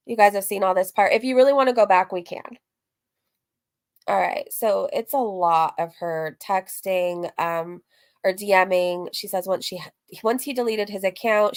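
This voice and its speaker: A woman streamer chatting casually through a recap, reading messages aloud, candid and rambling, breaking off into asides and dropping casual swear words. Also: mid-range voice. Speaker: streamer and host of a reaction livestream.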